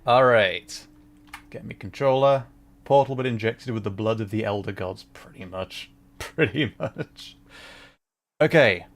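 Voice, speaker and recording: A man hosting a game livestream in a casual, chatty and jokey style, lightly self-deprecating. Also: lowish voice. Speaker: livestreamer, host. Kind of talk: casual game stream chat.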